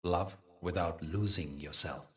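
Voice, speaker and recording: A man with a low-pitched voice guides a meditation very slowly and deliberately. He leaves pauses between phrases in a soothing, teacherly way. The microphone sounds some distance away. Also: pushed and bright.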